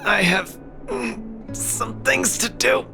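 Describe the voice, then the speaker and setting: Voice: mid-range voice, slow, gravelly. An actor playing a weary, stubborn young male fighter in a video game, weakened and apologetic, speaking hesitantly and evasively. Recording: guitar music behind the voice. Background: plucked guitar music.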